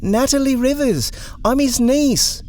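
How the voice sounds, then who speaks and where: A man's voice: very high voice, unhurried, darkish tone, voice pushed up. A man voicing a polite young woman who speaks carefully and distinctly in a storybook reading. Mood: polite, earnest.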